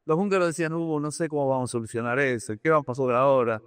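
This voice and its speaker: A man talks in an interview as an experienced executive. He has a mid-range voice and speaks haltingly, repeating words and slipping English terms into his Spanish. The sound is dry, with little room echo. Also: mid-range voice, steady tone, clean tone. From Argentina. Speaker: business executive being interviewed. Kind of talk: conversational interview answers with anecdotes.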